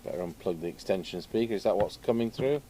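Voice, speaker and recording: A man with a low-pitched voice talks casually through a hands-on repair job. He speaks in short remarks, half to himself. There is noticeable noise behind his voice, recorded indoors at a workbench. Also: quick pace. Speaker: radio repair vlogger. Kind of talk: casual hands-on bench commentary. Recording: clear background noise from a workshop. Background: background noise.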